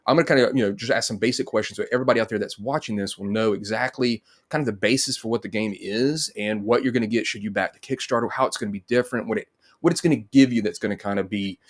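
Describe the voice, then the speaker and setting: A man's voice: on the low side, quick pace. A middle-aged man, hosting a casual interview. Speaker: host. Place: indoor home room, on a video call.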